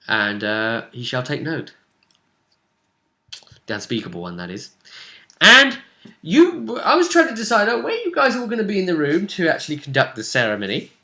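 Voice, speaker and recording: Man, game master, bright forceful voice, lively swooping intonation, casual session recap, hesitant asides. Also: a little high, moderate pace, long pauses. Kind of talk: casual chat recapping a tabletop role-playing session.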